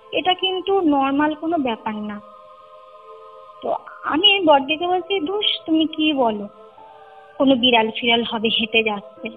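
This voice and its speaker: A young woman with a very high voice recounts a personal experience in Kolkata Bengali. Her telling is conversational and emphatic, with repeated points and little checks on the listener. She comes through a narrow, tinny phone line with music playing underneath. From Kolkata, India. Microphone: phone call. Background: clear music bed.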